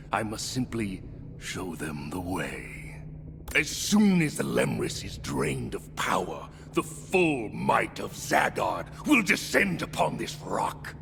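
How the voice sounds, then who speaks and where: An actor playing a menacing, zealous alien warlord in a video game, with a gravelly voice and pompous, theatrical oratory. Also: male voice, exaggerated voice. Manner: theatrical, pompous oratory with hissed whispers and booming shouts. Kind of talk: video game villain monologue.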